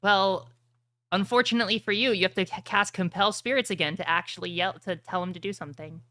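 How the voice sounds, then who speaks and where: A woman's voice: mid-range voice, fast talker, pushed and bright, no room sound, studio quality. A woman acting as game master, explaining rules and prompting players in a tabletop roleplaying game.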